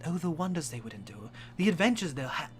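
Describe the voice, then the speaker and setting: A man's voice: a little high, big pitch swings, quick pace, soft background music. A young man reading a fan story aloud for a live stream, hamming it up with swearing and laughing asides. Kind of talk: comic dramatic reading with asides. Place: home streaming setup.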